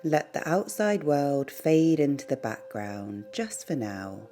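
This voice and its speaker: Low, mellow woman guiding a slow meditation. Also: big pitch swings. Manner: gentle, unhurried, pausing between short phrases. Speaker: guided meditation teacher. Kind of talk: guided meditation, soothing narration. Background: background music.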